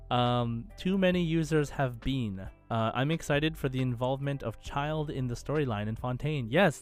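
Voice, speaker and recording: A man co-hosting a casual gaming podcast, conversational and enthusiastic as he chats and reads out listener comments. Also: mid-range voice. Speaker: podcast co-host.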